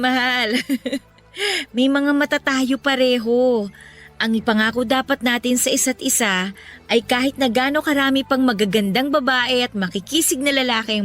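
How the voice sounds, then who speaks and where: A woman playing a caring, level-headed young girlfriend in a Filipino radio romance, gentle and reassuring. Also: fairly high pitch, lightly acted. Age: young adult. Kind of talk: Tagalog radio romance drama acting.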